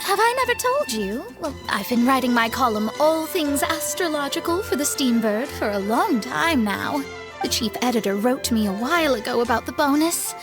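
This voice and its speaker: A woman voicing a proud, polite young female astrologist in a video game, refined and expressive. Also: high-pitched, moderate pace, loud music behind the voice. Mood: gracious. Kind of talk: animated video game voice acting.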